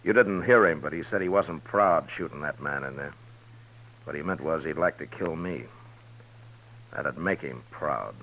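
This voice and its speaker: An actor playing a stern, weary, principled western lawman in 1950s radio drama, speaking in a measured, firm, plain way. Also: extremely low pitch, pushed and bright, heavily acted. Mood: grave. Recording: muffled, noisy old radio recording.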